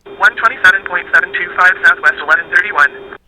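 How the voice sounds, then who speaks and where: A man playing a brisk airline pilot in a simulator game, speaking through an aircraft radio filter. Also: high voice, bright, forceful tone, rough recording. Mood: brisk, businesslike. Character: male airline pilot reading back instructions, brisk. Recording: hissy aircraft radio transmission.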